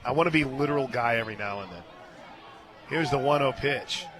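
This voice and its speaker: A man doing live radio play-by-play of a softball game, mixing pitch calls with folksy, joking asides. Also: a little fast, a crowd in the background. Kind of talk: live softball radio commentary with casual banter. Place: outdoor stadium press booth.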